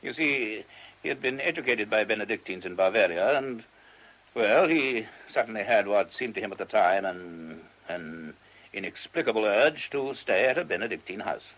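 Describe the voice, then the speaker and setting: An actor playing a courteous, earnest monastery abbot with a British accent and a hesitant, genteel manner in a radio play. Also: male voice, on the low side, expressive, normal speed, fairly bright tone, rough recording. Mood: earnest, explaining. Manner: hesitant, genteel, old-fashioned English turns of phrase. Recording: old radio drama recording.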